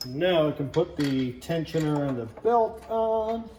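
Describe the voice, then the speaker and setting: A man's voice: a little high, animated, measured pace, a little mellow, echoey room, slight background noise. A man chatting casually as he hosts a DIY car build vlog, thinking aloud and muttering to himself as he works.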